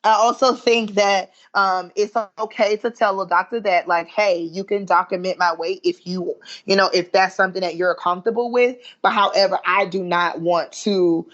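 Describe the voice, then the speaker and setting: A woman's voice: mid-range voice, fairly even intonation, moderate pace, fairly bright tone. Southern woman with a muffled sound. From American South. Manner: chatty, many fillers, proud. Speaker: guest, body image coach. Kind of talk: remote podcast interview.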